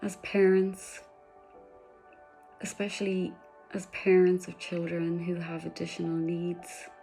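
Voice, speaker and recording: Woman meditation guide, soft-spoken with breathy whispers, dark flat delivery. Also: lowish voice, takes it slowly, faint music behind, rough recording.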